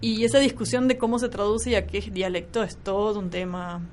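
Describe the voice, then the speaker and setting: A woman's voice: medium pitch, steady tone, fairly quick, a little mellow, a little hiss or hum. Thoughtful woman with a Córdoba accent. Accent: Argentine, Córdoba. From Argentina, Córdoba. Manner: thoughtful, explanatory, with restarts. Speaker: translator, guest. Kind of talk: conversational radio interview.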